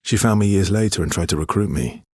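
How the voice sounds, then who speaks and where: A sorrowful, guarded young man for a dramatic video game. His voice is deep and heavily husky, recorded close and dry. He speaks softly and earnestly, in halting phrases, gentle yet weary. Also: no echo. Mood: sombre, confiding. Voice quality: husky, breathy.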